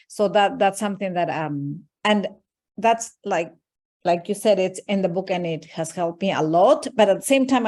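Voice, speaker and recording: A woman's voice: medium pitch, darkish tone. Woman, native Spanish speaker, thoughtful. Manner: thoughtful, with restarts and fillers. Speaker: teacher educator. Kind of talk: workshop discussion comment.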